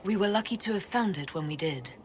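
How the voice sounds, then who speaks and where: An actress playing a composed, resolute elven leader in a video game, calm and direct. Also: female voice, medium pitch, fast, slight background noise, close to an everyday voice. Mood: kind. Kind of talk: video game dialogue acting.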